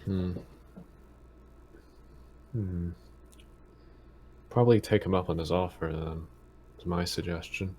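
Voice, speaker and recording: A man playing a tabletop game, chatting casually in a halting, hesitant way that often trails off. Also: low voice, a little mellow, a little hiss or hum.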